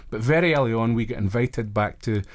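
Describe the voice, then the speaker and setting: A man's voice: medium pitch, a little fast. A man in his thirties with a Glaswegian accent, a college chaplain telling his life story as a guest in a radio studio, earnest with wry self-deprecating humor. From Scotland. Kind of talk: conversational interview storytelling.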